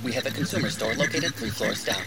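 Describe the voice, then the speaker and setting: A man's voice: fairly low pitch, quick pace, gravelly, heavy background noise. A man playing a courteous, rule-bound robot attendant who speaks in formulaic customer-service phrases in an audio drama. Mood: obliging, chirpy.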